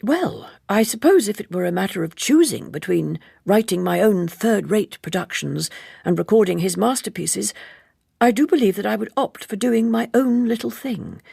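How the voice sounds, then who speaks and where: Woman voicing an earnest, bookish young gentleman, creaky. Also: medium pitch, lively intonation, normal speed, no echo, clean studio sound, voice pushed up, exaggerated voice. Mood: hesitant, thoughtful. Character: earnest, polite, bookish young male novelist.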